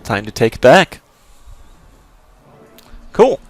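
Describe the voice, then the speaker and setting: A man's voice: medium pitch, very animated, takes it slowly, slightly rough, no room sound. A man casually commenting over his gameplay, explaining abilities as he plays with frequent restarts. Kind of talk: casual let's-play commentary.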